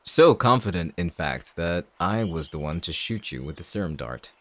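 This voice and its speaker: An actor playing a cold, calculating villain in an audio drama, speaking in a measured, smug, menacing way. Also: male voice, low voice, expressive, fairly bright tone, no echo. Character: cold, calculating male villain leading an anti-shifter operation. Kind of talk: dramatic audio roleplay acting.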